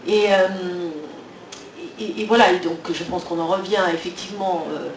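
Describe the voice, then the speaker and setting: Hesitant guy thinking out loud on a panel, lots of ums, far off mic in an echoey room. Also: high-pitched, steady tone, normal speed. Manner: hesitant, many fillers, reflective. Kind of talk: panel discussion. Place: conference hall at a trade fair. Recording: echoey hall, distant mic, faint noise.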